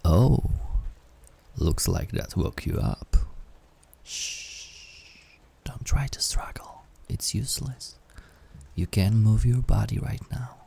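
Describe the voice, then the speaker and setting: Man acting as incubus demon, low pitch, husky and breathy, hushed intimate delivery, audio roleplay. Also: animated, takes it slowly, pauses often, heavily acted. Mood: menacing, teasing. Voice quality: husky, breathy, often dropping to whisper. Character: incubus sleep paralysis demon, menacing turned awkwardly tender.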